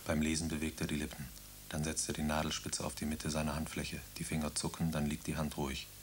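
A man calmly reading out the stage directions of a radio drama, matter-of-fact. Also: extremely low pitch, fast talker, a little hiss or hum. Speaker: narrator.

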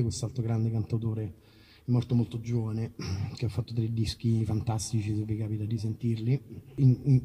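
An Italian man, a musician, chatting informally and haltingly to a concert audience as he introduces songs. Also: medium pitch, dark tone, husky. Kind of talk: casual spoken song introduction to an audience. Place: live outdoor evening concert in a park olive grove.

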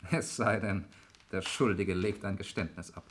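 A man's voice: sits low, steady tone, normal speed, husky. A man playing a stubbornly unconvinced nephew in a 1960s radio crime drama, objecting insistently. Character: doubting nephew named Erik, stubbornly unconvinced.

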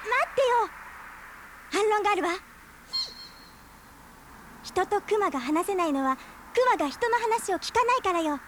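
A woman's voice: extremely high pitch, lively intonation, noisy background. An actress playing a spirited, stubborn young woman in a radio drama, arguing her case insistently. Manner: quick-witted, debating, insistent. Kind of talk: 1990s radio drama acting.

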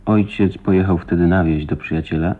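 A deep, husky man's voice plays an earnest, loving husband in a radio drama. His manner is intimate and unhurried. The sound is slightly dull and muffled. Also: steady tone. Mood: reflective. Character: tender, earnest husband, a lonely millionaire's son.

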